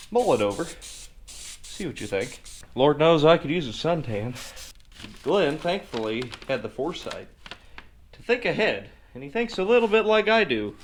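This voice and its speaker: A man's voice: mid-range voice, slight background noise. A man hosting a casual DIY car repair vlog, talking folksily as he works, with tongue-in-cheek, mock-serious asides. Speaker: host of a car repair video channel. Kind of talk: casual DIY vlog narration while working. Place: garage workshop.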